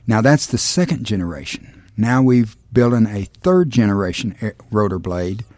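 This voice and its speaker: A man giving a measured technical explanation in an interview, stressing the figures. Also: mid-range voice, warm tone, no echo. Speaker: aircraft designer being interviewed.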